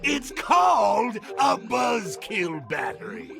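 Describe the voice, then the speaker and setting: A man's voice: high-pitched, wildly swooping pitch, slow pace, gravelly, shouting, full character voice. A man playing a gleeful, villainous clown in a cartoon game, gloating and taunting with theatrical flair over music. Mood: menacing glee.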